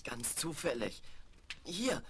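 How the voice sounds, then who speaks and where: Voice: mid-range voice, big pitch swings, slow pace, a bit bright, husky, heavily acted. A young performer playing a spirited, sceptical adolescent boy, teasing yet polite, in a children's radio play. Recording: noisy, clicking vinyl record rip.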